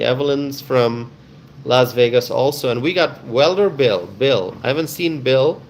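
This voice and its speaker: A man's voice: medium pitch, slight background noise. A man with a Bangladeshi accent hosting a casual live stream, chatting with viewers in a friendly, welcoming way and often repeating himself.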